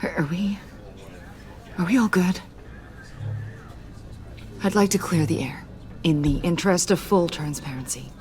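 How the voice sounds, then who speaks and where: Actress as a low-voiced, earnest female agent. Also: a little mellow, close to an everyday voice. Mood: uneasy, candid. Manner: measured, candid, slightly formal. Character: earnest, conflicted female government agent, adult, professional. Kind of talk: naturalistic video game dialogue.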